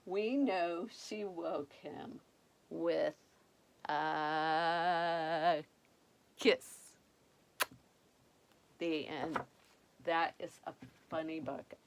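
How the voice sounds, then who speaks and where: An older woman reading a children's picture book aloud, expressive and playful, with dramatic emphasis and chatty asides. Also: deep voice, very slow, long pauses, a little hiss or hum.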